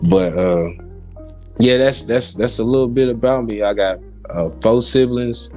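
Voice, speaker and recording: A man's voice: medium pitch, measured pace, darkish tone, faint music behind. An American man in his forties from Kansas City, Missouri, giving personal testimony as a podcast guest. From Kansas City, Missouri, United States. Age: 40s.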